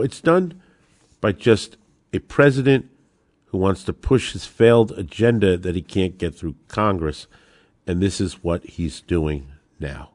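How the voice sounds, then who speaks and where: An American man hosts a political podcast in a fairly low voice. His delivery swings widely in pitch as he makes emphatic, rhetorical points straight to the listener. The sound is dry and close. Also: no echo. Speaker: podcast host. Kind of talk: political commentary on gun law.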